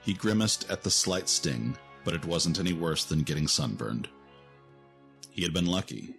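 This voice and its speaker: A man's voice: sits low, expressive, moderate pace, vocal fry, fairly bright tone. A man narrating a scripted fantasy story as the narrator of an audio drama. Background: music.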